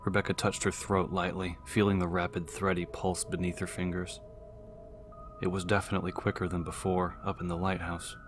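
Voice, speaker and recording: A man with a low voice narrates a horror audiobook. The reading is dramatic and scripted, with quiet music underneath. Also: level tone, brisk.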